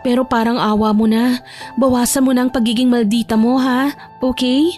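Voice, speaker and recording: A woman voicing a caring, anxious young woman who gently scolds and pleads like a big sister in an audio drama. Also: a little high, steady tone, normal speed, soft background music, close to an everyday voice. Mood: anxious pleading.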